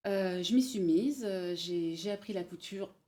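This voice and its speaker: Woman, 40s, Parisian-born guest, mellow and unhurried with lots of fillers. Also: mid-range voice. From Paris, France. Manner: hesitant, many pauses and fillers. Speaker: guest, bridal showroom manager. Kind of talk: casual interview, telling her career story.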